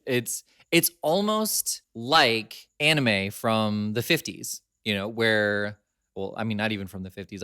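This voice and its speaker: A man's voice: on the low side, smooth, no room sound, clean studio sound. A man co-hosting a podcast in casual chat, laughing often as he talks. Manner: laughs often, chatty and animated. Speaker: podcast co-host.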